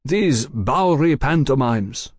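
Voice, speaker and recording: A man's voice: medium pitch, lively intonation, slow pace, no echo, studio quality, pitched above the performer's own voice. A man doing a bitter exiled radical. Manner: aggrieved, rage held back. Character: bitter exiled male radical, middle-aged, resentful.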